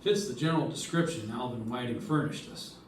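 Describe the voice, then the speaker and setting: Hard-boiled detective , male actor: middle pitch, clipped and probing, old-time radio style, echoey sound. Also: brisk, slight background noise, lightly acted. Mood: brisk, probing. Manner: terse, pointed. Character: hard-boiled male investigator narrating and questioning suspects. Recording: echoey room, distant mic, music.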